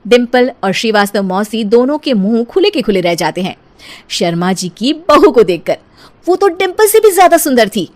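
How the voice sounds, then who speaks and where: Woman storyteller, slightly high voice, scripted comic cartoon narration. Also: sing-song, moderate pace.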